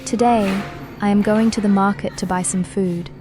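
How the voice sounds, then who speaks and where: A young woman from Vietnam, narrating a travel vlog about her home village in a calm, measured, explanatory voice-over. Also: medium pitch, background noise. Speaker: vlogger. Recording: voice-over with clear background music. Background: background music.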